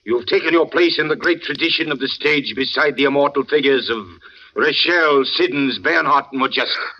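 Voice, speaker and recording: A man's voice: mid-range voice, normal speed, natural voice. An actor playing a proud, fussy, devoted old father in a 1940s radio drama, speaking in a grandiloquent, pleading manner. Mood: earnest pleading. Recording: muffled old radio broadcast recording.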